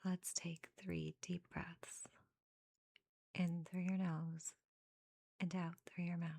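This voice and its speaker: A woman leading a guided meditation in a soft, breathy half-whisper, giving gentle, soothing instructions in short phrases with pauses between them. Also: lowish voice, little pitch movement, dry recording.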